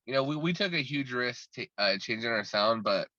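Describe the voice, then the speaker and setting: A talkative man chats as an interview guest, rambling and restarting his sentences as he goes. His voice is bright and forceful. The sound is dry and dull, with a lo-fi edge. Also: mid-range voice, lively intonation, moderate pace, dry recording. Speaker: guest musician. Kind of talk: casual interview chat.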